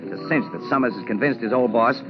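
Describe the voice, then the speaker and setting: An actor playing a brisk, tough, wisecracking American photographer with slangy, clipped 1940s radio delivery. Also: male voice, mid-range voice, lightly acted. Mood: speculative, keen. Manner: slangy, clipped, self-assured. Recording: muffled 1940s radio broadcast, music behind.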